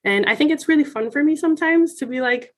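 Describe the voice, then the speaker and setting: A woman's voice: high-pitched, animated, fast talker. A woman from Miami speaking in a conversational interview, explaining things from experience in an articulate, emphatic, self-assured way. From Miami, United States. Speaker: senior college administrator being interviewed.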